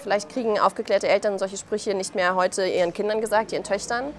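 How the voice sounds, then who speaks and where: A woman's voice: medium pitch, fairly even intonation, fairly quick. A woman in her thirties from Belarus speaking as an interview guest in an impassioned, colloquial way, often acting out quoted remarks. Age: 30s. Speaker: interview guest, author and journalist. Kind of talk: impassioned spoken interview answers.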